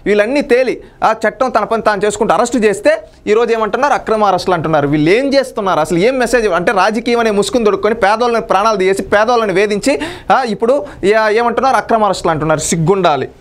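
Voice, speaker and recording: A man gives unscripted political commentary, accusing and scolding his opponents with pointed rhetorical questions. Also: high-pitched.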